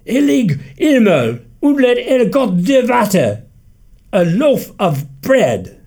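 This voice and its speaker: A man's voice: high voice, wildly swooping pitch, slow pace, a little mellow, pitched above the performer's own voice, exaggerated voice. A man voicing an old bearded grandfather who groans and mutters with a heavy accent. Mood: grave.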